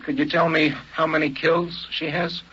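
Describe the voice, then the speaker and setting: An actor playing an eager, high-strung hunter in a future society, in old-time radio drama style, excitable and restless. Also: male voice, normal speed, breathy edge. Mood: uneasy, indignant. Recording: muffled vintage radio recording with noise.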